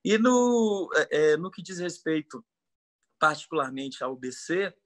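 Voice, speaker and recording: A man speaks on a video call from home, thoughtful and earnest, often restarting his phrases. His voice is slightly high and very bright. The sound is dry and close. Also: animated, unhurried, no room sound. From Salvador, Bahia, Brazil. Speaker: panel guest, composer. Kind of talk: online panel discussion. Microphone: computer mic on a video call.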